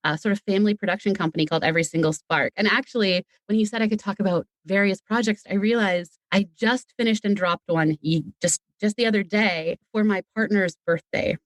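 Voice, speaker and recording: A woman's voice: mid-range voice, a little fast, hardly any pauses, no room sound, poor audio. A woman speaking as a podcast guest, chatting casually in a talkative, playful way. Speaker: podcast guest, audio drama creator. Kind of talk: casual interview chat.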